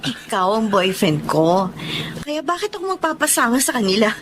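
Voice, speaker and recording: A woman's voice: fairly high pitch, sing-song, moderate pace, noisy background. A woman playing a playful, confident, affectionate young professional in a radio drama.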